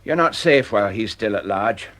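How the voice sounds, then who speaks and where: An older, vindictive industrialist from an audio drama. His delivery is emphatic and blustering, repeating phrases. The pitch rises and falls dramatically. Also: lively intonation, normal speed, a bit bright.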